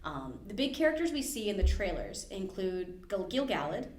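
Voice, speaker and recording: A woman's voice: medium pitch, big pitch swings, normal speed, a little mellow. A woman hosting a library podcast, chatting eagerly about fantasy book lore. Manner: enthusiastic, digs into book lore, fond of asides. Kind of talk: casual chat. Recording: echoey room.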